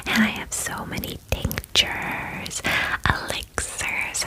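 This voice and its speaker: A woman voicing a chatty, clingy female dragon in a breathy ASMR whisper, gushing casually like a best friend in a fantasy roleplay. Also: natural voice, low-quality recording. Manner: gushing valley-girl chattiness, filler-heavy, casual.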